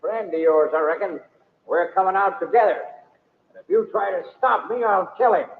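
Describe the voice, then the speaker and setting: An actor playing a defiant, cornered outlaw killer with rough, threatening rustic speech in a western radio drama. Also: male voice, high voice, moderate pace. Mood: menacing. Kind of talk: old-time radio western acting. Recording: echoing, reverberant, phone-like old radio recording.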